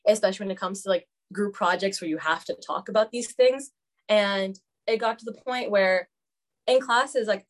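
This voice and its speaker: A young woman talking as a student guest in a casual podcast roundtable, speaking candidly with a lot of "like" fillers. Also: mid-range voice. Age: young adult. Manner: candid, chatty, many "like" fillers.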